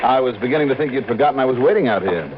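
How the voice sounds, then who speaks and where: A man's voice: medium pitch, expressive, very fast, background noise, natural voice. An American man acting a wry, easygoing freelance insurance investigator in a mid-century radio detective drama, dry and wisecracking. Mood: dry, needling. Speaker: lead actor and narrator of a detective radio drama. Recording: muffled old-time radio recording with music.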